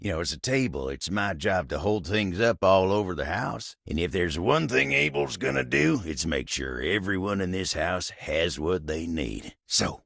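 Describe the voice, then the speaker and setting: A man's voice: low-pitched, lively intonation, normal speed, gravelly, hardly any pauses, a bit bright, dry recording, clean studio sound, exaggerated voice. A man voicing a friendly, humble, hard-working talking table in a video game, with a folksy, neighborly American country drawl. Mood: warm, welcoming.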